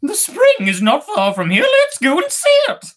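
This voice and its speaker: A woman's voice: high voice, huge pitch swings, moderate pace, voice pushed up. A woman voicing a chirpy, gossipy little bird in a fairy tale told aloud. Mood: eager. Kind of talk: animated fairy tale storytelling.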